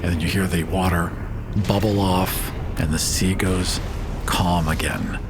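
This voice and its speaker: A man running a tabletop game as game master, narrating scenes in a dramatic, hushed way and then joking with the players. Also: low-pitched, fairly even intonation, a little slow, noisy background.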